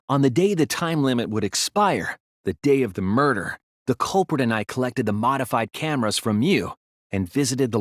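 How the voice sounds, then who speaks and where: A man voicing an earnest, self-doubting teenage boy detective in a dramatic video game, speaking in a deliberate, explanatory way. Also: medium pitch, dry recording, clean studio sound, lightly acted. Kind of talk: dramatic English video game voice acting, deductive monologue.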